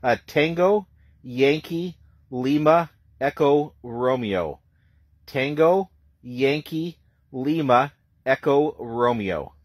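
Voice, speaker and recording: A man's voice: mid-range voice, lively intonation, takes it slowly, no echo. A man working as an amateur radio operator, speaking plainly in radio jargon and repeating details for clarity. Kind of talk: ham radio contact, phonetic spelling.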